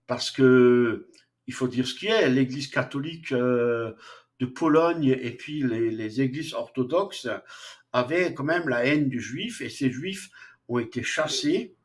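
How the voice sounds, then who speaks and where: A man lecturing on philosophy at an unhurried, deliberate pace. He talks off the cuff, stopping often for fillers and restarting his sentences. His voice sits at an ordinary middle pitch. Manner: slow, hesitant, frequent fillers and restarts. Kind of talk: unscripted lecture.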